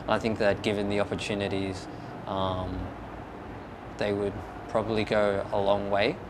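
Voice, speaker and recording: A man giving measured, hesitant answers in a documentary interview. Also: fairly low pitch, level tone, noisy background.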